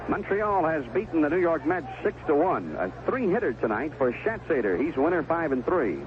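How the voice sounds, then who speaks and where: Male radio baseball play-by-play announcer, animated delivery, narrow phone-like band with clear background noise. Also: fairly high pitch. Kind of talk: live radio baseball commentary. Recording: narrowband noisy 1970s AM radio broadcast.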